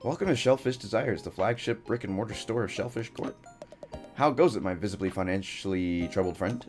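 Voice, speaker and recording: A man voicing a smooth-talking, condescending male crab shop clerk in a video game. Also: on the low side, fairly quick, a little mellow, faint music behind, close to an everyday voice. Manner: oily salesman patter.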